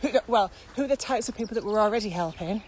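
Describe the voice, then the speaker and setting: A woman with a mid-pitched voice chats casually as a podcast co-host, working out her thoughts as she speaks. She is recorded outdoors while walking, with faint ambient noise behind her. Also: fast talker, darkish tone. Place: walking outdoors across open fields.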